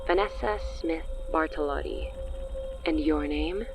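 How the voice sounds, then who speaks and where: A smug, low-voiced villainess. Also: female voice, measured pace, music in the background. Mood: teasing. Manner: smug, drawling, coy. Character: cocky, teasing supernatural villainess making deals. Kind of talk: horror audio drama acting.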